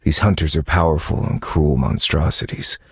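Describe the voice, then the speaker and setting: An actor playing a brooding detective who narrates a dark mystery game story in a grave, measured, dramatic manner. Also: male voice, rumbling low, fairly even intonation, husky, dry recording, clean studio sound, heavily acted. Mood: ominous, grave.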